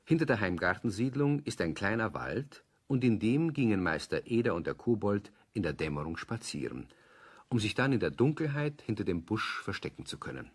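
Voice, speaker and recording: A man's voice: fairly low pitch, sing-song, a little fast, slightly rough. A man narrating a children's audio play as a scripted storyteller.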